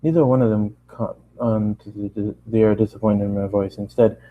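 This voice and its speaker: A man's voice: on the low side, steady tone, a little fast, narrow, tinny audio, a little hiss or hum. A tired man reading a story aloud as an amateur narrator, often stumbling and rereading phrases. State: tired, running out of steam. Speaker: amateur fan-fiction reader.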